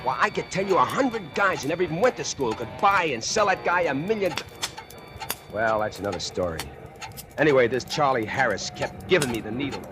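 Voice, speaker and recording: A man's voice: medium pitch, big pitch swings, fairly quick, natural voice. An actor playing a lazy, boastful factory worker who whines, brags and holds a grudge, in a 1940s radio drama with tough-guy slang. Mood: bitter. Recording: old radio broadcast with music.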